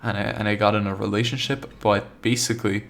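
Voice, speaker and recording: A young man talks through a solo podcast episode in a relaxed, meandering way. His voice sits a little low. He trips over his own sentences and leans on "like" as he thinks aloud, without any accent. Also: fairly quick, gravelly, a little hiss or hum. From Argentina. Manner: rambling, full of "like" and restarts, laid-back. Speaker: podcast host. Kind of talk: casual solo podcast monologue.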